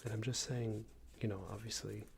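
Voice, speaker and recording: A man playing a smug, unreasonable boss in an ASMR roleplay, scolding an employee in a soft breathy whisper with a hesitant, condescending manner. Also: level tone, normal speed, a little hiss or hum. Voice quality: breathy soft whisper with low creaky murmur. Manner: hesitant, condescending, meandering reprimand.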